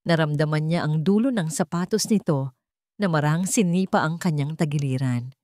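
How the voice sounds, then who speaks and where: Low-voiced woman narrating a dramatic story. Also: moderate pace, a little mellow, no echo, studio quality.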